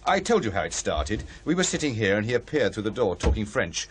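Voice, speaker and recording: Brisk reporter, radio drama, rapid delivery, varied pitch, slight noise behind. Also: male voice, sing-song. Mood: matter-of-fact. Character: brisk, unruffled male reporter at a haunting.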